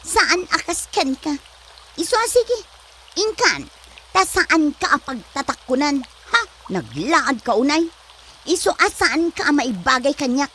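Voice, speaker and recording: A woman's voice: high voice, sing-song, unhurried, pushed and bright, slight background noise, full character voice. A woman playing a haughty, ambitious young woman in an Ilocano comedy drama, sassy and disdainful, with an Ilocano accent. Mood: scornful, mocking.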